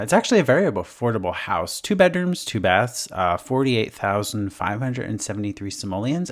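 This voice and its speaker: A man with a hoarse voice after being sick, giving a rambling, casual voice-over commentary full of fillers as a gaming creator. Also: fairly low pitch, fairly bright tone. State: hoarse from illness.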